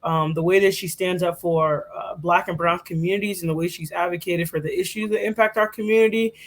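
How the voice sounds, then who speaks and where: A woman's voice: on the low side, fairly even intonation, a little fast. Chatty young woman co-hosting a podcast. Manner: chatty, filler-heavy, self-correcting. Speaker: podcast co-host. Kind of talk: casual presentation.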